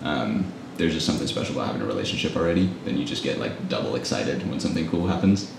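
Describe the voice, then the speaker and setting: A man with a very low voice hosting a relaxed chat, talking fast and rambling through his questions. He sounds set back from the mic in a strongly echoing room. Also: gravelly, a little hiss or hum. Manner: chatty, rambling, fillers like "like". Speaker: coffee shop host. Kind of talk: casual interview. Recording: echoey room, mic at a distance.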